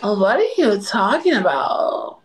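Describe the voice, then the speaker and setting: A young woman with an American accent, a native English speaker, hosting a casual chat with a hesitant, coy, deadpan delivery. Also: medium pitch, takes it slowly, soft background music. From California, United States. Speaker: livestream host. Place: room indoors. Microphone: phone at arm's length.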